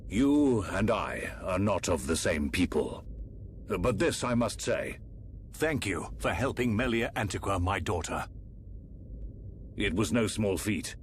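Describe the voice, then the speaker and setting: An actor playing a grave, aging emperor in a fantasy video game, speaking in a formal, measured way. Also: male voice, deep voice, slight background noise. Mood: grave gratitude. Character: solemn aging emperor, stately fantasy ruler and father. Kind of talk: dramatic video game voice acting.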